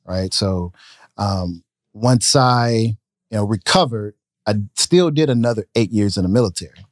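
Man in his 30s chatting on a podcast, laid back, close boom mic, no room echo. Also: a little slow. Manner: relaxed, talks with his hands. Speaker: guest business owner. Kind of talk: casual interview storytelling. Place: podcast studio, indoors. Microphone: studio mic on a boom arm. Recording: dry close studio sound.

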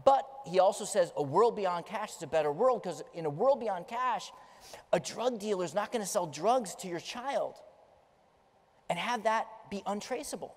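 A middle-aged man speaks from a large conference stage, his somewhat high voice driving emphatic, story-led points. His words trail off into the long reverberation of a big hall. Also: animated, normal speed. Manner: emphatic, storytelling delivery, gesturing while pacing the stage. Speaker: keynote speaker. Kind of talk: conference keynote speech.

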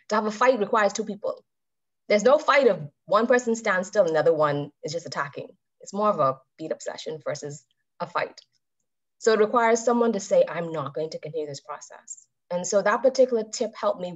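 A woman in her thirties giving a calm, measured talk to a club over a video call and putting questions to her listeners. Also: mid-range voice. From Bahamas. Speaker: club speaker. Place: indoors with a virtual background. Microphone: webcam on a video call. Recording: dull video-call audio.

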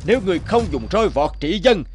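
A scornful, corrupt senior mandarin in an animated folktale. He talks pompously and mockingly, with music in the background. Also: high voice, fast. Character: corrupt, scornful older male superior mandarin.

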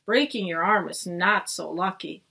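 A woman's voice: lowish voice, a little slow, gravelly, sharp tone, breathy edge, pitched below the performer's own voice, exaggerated voice. A worried exasperated mom, read by a woman.

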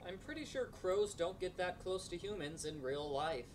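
A man's voice: high voice, animated, moderate pace, slight background noise. A man delivering scripted, sarcastic, mock-indignant narration that nitpicks a video game. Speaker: video critic.

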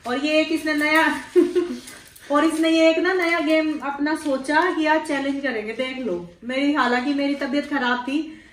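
A woman's voice: high-pitched, fairly even intonation, normal speed. A woman in her forties, a mother vlogging casually on a sofa at home, teasing and laughing as she talks to viewers, with a cold and a sore throat. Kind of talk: casual family vlog chat. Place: living room, indoors, on a sofa. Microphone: fixed camera a few feet away. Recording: echoey room.

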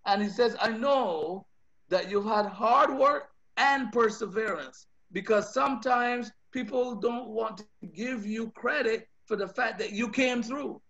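A man's voice: very high voice, unhurried, gravelly, a bit bright. A man with a Bajan accent, a pastor preaching a sermon over a video call, earnest, often repeating words as he builds his points. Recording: dull lo-fi video call audio.

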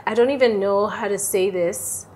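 A young woman from Uganda, talking casually as an interview guest in an animated storytelling way, often checking in with "right?". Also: mid-range voice, normal speed, slight background noise. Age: young adult. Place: airy lounge on a sofa, indoors.

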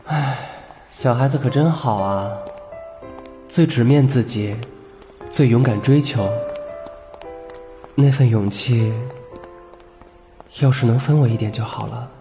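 A young man playing a gentle, shy student quietly in love, soft-spoken and wistful, in an audio comic drama. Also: medium pitch, slow pace, long pauses, music in the background.

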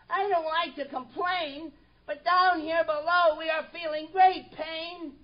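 Tiny, squeaky, pleading little turtle. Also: female voice, lo-fi sound. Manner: meek, plaintive, groaning. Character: small, humble, suffering plain male turtle, politely pleading. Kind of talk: theatrical children's story acting.

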